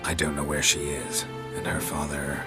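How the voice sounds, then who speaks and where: An actor playing a gruff, grizzled monster hunter in a video game, speaking in short, flat lines. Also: male voice, moderate pace, loud music behind the voice. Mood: dry. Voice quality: low, flat, terse delivery.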